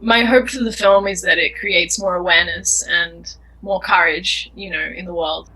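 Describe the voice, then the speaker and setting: A woman's voice: on the low side, fairly even intonation, moderate pace, pushed and bright, breathy edge, low-quality recording. A young woman filmmaker answering interview questions in an earnest, thoughtful way, often searching for words. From Australia.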